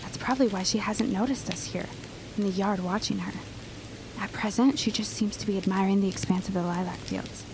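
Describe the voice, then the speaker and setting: A woman's voice: mid-range voice, fairly quick, background noise, lightly acted. A woman playing an eager, inquisitive and loyal neighbour in a period audio drama, with earnest, old-fashioned phrasing. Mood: intrigued, hushed.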